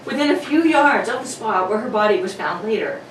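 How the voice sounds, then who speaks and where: An actress playing an earnest, distraught young governess, pleading and halting in a melodramatic radio drama. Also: female voice, medium pitch, expressive, close to an everyday voice. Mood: distressed. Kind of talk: 1940s radio drama acting. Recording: roomy, distant mic with clear noise.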